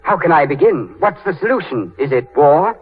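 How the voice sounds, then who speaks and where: Animated young lord, tinny radio. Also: male voice, fairly high pitch, normal speed, husky. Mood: humbled, eager. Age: young adult. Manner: formal, earnest. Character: earnest young English aristocrat, stiff, eager to learn. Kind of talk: 1930s radio acting. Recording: tinny, band-limited 1930s radio broadcast.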